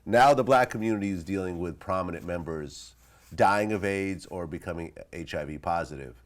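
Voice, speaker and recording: A man's voice: deep voice, moderate pace. A man with an American accent asking questions casually as the host of an interview.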